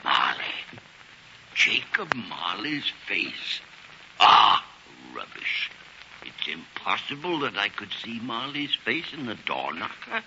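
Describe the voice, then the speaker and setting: A man's voice: fairly low pitch, very animated, slow pace, exaggerated voice. An older man acting a bitter, scornful old miser who grumbles and snaps in a 1940s radio drama. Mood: uneasy, muttering. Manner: grumbling, blustering, snapping. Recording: muffled, hissy 1940s radio broadcast.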